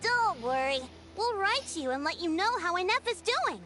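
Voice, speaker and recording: A woman's voice: extremely high pitch, sing-song, normal speed, fairly bright tone, a little hiss or hum, exaggerated voice. A woman voicing a friendly, eager young child in a video game.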